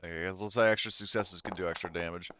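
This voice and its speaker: A man's voice: low voice, fairly even intonation, fast, a bit bright, dull audio. A man running a tabletop roleplaying game, narrating the action casually and swearing freely. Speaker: game master.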